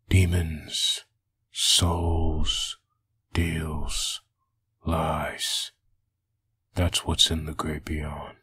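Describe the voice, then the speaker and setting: A man voicing a brooding, vengeful undead antihero in a husky growl, delivering a grim inner monologue for a comic dub. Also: rumbling low, fairly bright tone, no echo, heavily acted. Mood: grim, resolute.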